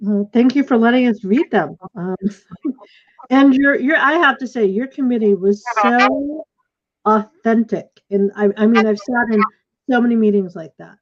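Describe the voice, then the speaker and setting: A woman's voice: on the high side, normal speed. A woman hosting an informal online event in an effusive way over a video call. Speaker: host and play director. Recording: dull, lo-fi video call audio.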